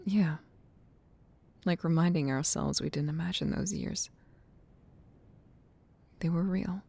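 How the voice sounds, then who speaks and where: A woman playing a gentle, nostalgic childhood friend in an ASMR roleplay, speaking softly and breathily, tender and unhurried. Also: on the low side, heavily acted. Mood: gently reassuring. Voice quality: soft, breathy near-whisper with creaky fry. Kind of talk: ASMR roleplay, soft intimate talk.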